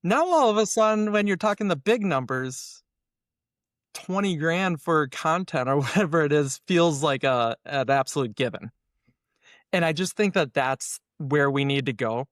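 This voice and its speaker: A man's voice: on the high side, moderate pace, no echo, studio quality. A man speaking as an interview guest, casually and with strong opinions, acting out imagined exchanges as he explains. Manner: animated explainer who acts out hypothetical dialogue. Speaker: interview guest, SEO consultant.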